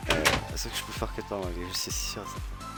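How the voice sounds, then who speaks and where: A young man chatting casually and excitedly while playing a video game with a friend. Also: deep voice, quick pace, music in the background. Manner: lively, jokey, bursts into loud exclamations. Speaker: Minecraft let's player, channel host. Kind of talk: casual gaming chat with a friend.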